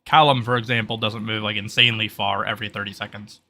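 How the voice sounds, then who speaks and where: Bright, forceful male game master. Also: lowish voice, fairly even intonation, quick pace. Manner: rambling, informal, thinking aloud. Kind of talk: running a tabletop roleplay game, casual explaining.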